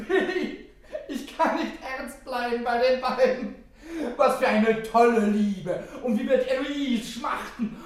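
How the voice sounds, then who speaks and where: Radio drama: excitable, mocking, giggly sidekick, very high voice, distant and heavily reverberant. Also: female voice, takes it slowly. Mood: giggly mockery. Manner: gleeful, jeering, theatrical. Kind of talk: exaggerated radio play acting. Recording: very echoey, far from the microphone.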